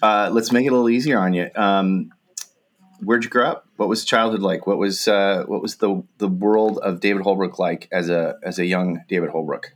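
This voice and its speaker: Man, low voice, interview host, chatty questions, video call. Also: steady tone, normal speed. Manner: warm, encouraging, wordy questions. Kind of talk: friendly interview.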